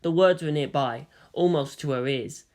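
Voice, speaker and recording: A woman reading a fan story aloud in a measured, solemn way. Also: low voice, a little mellow. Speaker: fanfiction reader. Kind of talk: audiobook-style story reading.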